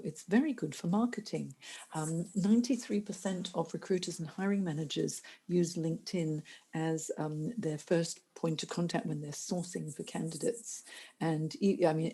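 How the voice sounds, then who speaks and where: A middle-aged woman speaks as a careers coach on a podcast. Her voice sits low and mellow, with a slightly husky grain. She talks earnestly and often restarts her sentences. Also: moderate pace. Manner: earnest, many restarts and fillers. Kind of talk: conversational podcast interview.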